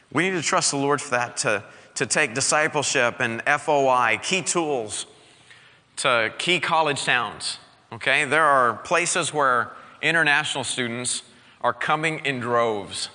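A male pastor preaching an energetic sermon, exhorting his congregation with call-and-response. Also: medium pitch, in a large hall.

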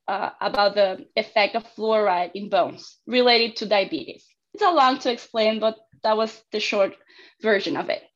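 A young woman from Argentina with an Argentine Spanish accent, speaking halting non-native English as a guest in a casual interview. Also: mid-range voice. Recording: dull, lo-fi call audio.

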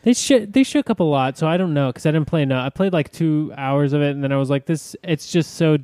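A man hosting a casual gaming podcast, steering the talk with quick, blunt remarks. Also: on the high side, dark tone, no room sound. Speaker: podcast host. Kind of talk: casual chat.

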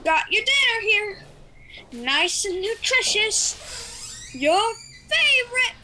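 A girl acting as a young lab worker with a very high, bright voice. She has a singsong manner suited to an audio drama, on a noisy amateur recording. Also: very animated, takes it slowly, full character voice. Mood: bored, singsong. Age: child, nine to twelve.

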